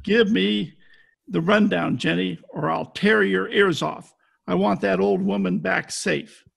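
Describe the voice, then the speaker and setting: A man's voice: sits high, steady tone, a little slow, gravelly, a bit bright, breathy edge, exaggerated voice. A man playing a tough, wisecracking private detective in an old-time radio style, talking in clipped slang. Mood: hard, pressing. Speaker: amateur radio play actor. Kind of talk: hardboiled 1940s radio detective acting. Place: at home, recorded over video call.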